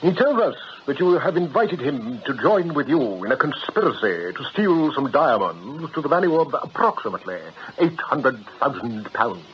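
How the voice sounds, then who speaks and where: An actor playing a polite, patient detective sergeant in a radio drama, official and courteous. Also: male voice, medium pitch, sing-song, moderate pace, natural voice. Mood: patient, formal. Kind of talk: British radio drama acting. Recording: muffled radio drama recording, faint hiss.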